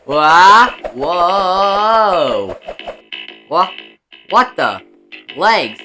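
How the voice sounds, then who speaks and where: Woman voicing brash young anime guy, curious, bright forceful tone, slow delivery, faint guitar music behind. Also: on the low side, sing-song, heavily acted. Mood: panicked, indignant. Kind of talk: theatrical anime fan-dub acting.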